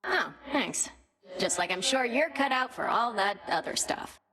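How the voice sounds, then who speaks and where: A woman's voice: fairly high pitch, animated, unhurried, bright, forceful tone. A woman playing a teasing, sardonic ghost in a video game, with a sarcastic delivery. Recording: long reverberant hall effect.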